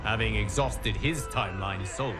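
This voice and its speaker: A man playing a wise, godlike guardian who narrates game cutscenes in a grave, formal, measured way. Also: lowish voice, over music, lightly acted. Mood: grave, foreboding. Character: solemn, wise, godlike male guardian of the timelines. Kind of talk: scripted dramatic cutscene narration.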